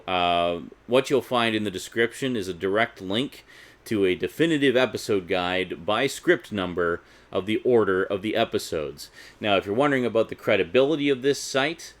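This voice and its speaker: A man with a fairly low voice talks like a video host showing off a collection. His delivery is unscripted and informal, full of chatty asides to the viewer. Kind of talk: casual unscripted collector vlog.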